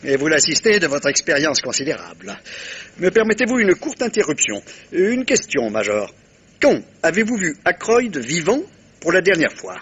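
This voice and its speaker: An actor playing a shrewd, self-satisfied, courteous middle-aged detective in a vintage French radio mystery, with theatrical, pointed pauses. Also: male voice, fairly high pitch, sing-song, a bit bright, breathy edge, exaggerated voice. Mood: probing, courteous.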